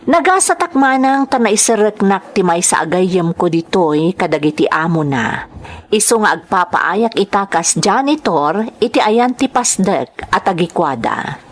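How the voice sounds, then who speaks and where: A woman's voice: medium pitch, lively intonation, measured pace. A woman with an Ilocano accent hosting and narrating, reading greetings and a listener's letter aloud. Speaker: host and narrator of a drama channel.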